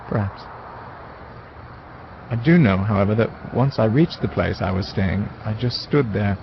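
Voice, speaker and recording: An actor playing a wistful man who recalls his youth in measured, literary first-person dramatic narration. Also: lowish voice, gravelly, slight background noise. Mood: melancholy, reflective. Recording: dull lo-fi audio with music. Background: music.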